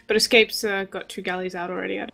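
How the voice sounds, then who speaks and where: A woman's voice: medium pitch, flat delivery, quick pace, creaky, pushed and bright, faint music behind. A woman giving live, conversational commentary on a video game match. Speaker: esports match caster.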